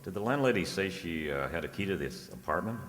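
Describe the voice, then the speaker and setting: Very low male voice, actor as shrewd private eye, wry, deducing, radio mystery, reverberant hall. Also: lively intonation, fairly quick, fairly bright tone. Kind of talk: detective radio play acting.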